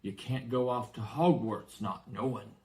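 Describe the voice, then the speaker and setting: A man voicing a big, gruff, excitable but kindly giant with a rustic, blustering way of talking, in a storybook reading. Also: medium pitch, a little slow, natural voice. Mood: anxious, hesitant. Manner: rustic, blustering exclamations and hesitant asides.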